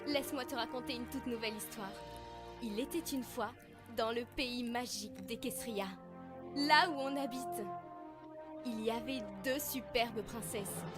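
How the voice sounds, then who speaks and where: A woman's voice: on the high side, unhurried, close to an everyday voice. A woman voicing an affectionate, chatty pony mother in a French cartoon fandub, cheerful and doting. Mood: gentle storytelling. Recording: studio dub with faint music.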